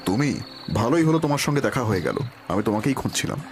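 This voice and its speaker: A man's voice: medium pitch, lively intonation, fast, music in the background, close to an everyday voice. A man playing a regal, protective king. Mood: reassuring. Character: kind protective king, worried for his subjects. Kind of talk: dramatic Bengali cartoon dubbing.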